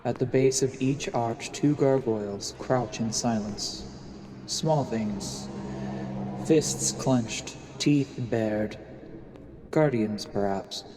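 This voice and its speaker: A man playing a coldly calculating assassin who poses as a lord, narrating gravely and deliberately in a fantasy audio drama. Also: mid-range voice, background noise, close to an everyday voice. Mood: brooding, menacing.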